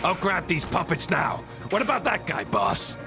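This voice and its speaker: An actor playing a mocking, brash henchman in a video game, with a gravelly voice. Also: sits high, huge pitch swings, normal speed, over music, full character voice. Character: sneering thuggish henchman, adult man.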